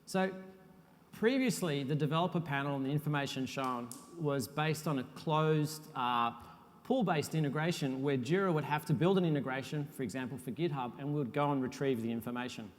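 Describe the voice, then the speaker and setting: A man's voice: fairly high pitch, brisk. Man presenting in a reverberant hall. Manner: relaxed, self-deprecating presenter with frequent uhs. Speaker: conference speaker, software architect. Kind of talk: technical conference talk. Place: conference hall.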